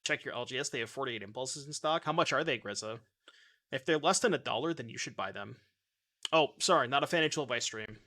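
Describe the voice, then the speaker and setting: Bright-voiced joking male streamer. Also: medium pitch, lively intonation, fast talker. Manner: joking, chatty, answers chat while playing. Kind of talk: casual gameplay commentary reading chat. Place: home streaming room. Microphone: desk mic with webcam.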